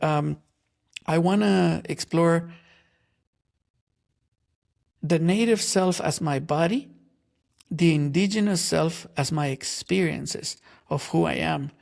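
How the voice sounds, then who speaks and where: A man from Guatemala who is an artist and a guest in an interview. He talks in a slow, reflective way, searching for words with many pauses. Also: fairly high pitch, vocal fry, a little mellow.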